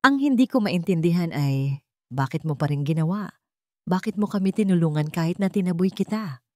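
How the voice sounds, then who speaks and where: A woman voicing a humble, remorseful young man with an earnest, soft-spoken manner in an audio romance drama. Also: on the low side, animated, brisk, no room sound, clean studio sound, close to an everyday voice. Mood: puzzled, earnest.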